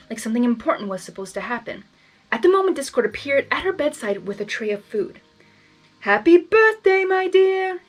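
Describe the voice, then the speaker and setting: A woman reading fan fiction aloud for the first time, casual and chatty. Her voice sits fairly high, and she breaks into quick asides between passages. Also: slightly rough, low-quality recording. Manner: casual, chatty, laughs at the text. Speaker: channel host, reader.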